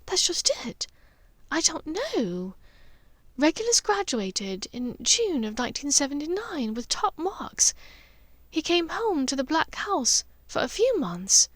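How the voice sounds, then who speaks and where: A woman's voice: high-pitched, fairly bright tone, dry recording, pitched above the performer's own voice, heavily acted. A woman voicing a refined, reflective mother in an audiobook, speaking hesitantly and confidingly. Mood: sad, reflective. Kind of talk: audiobook character dialogue.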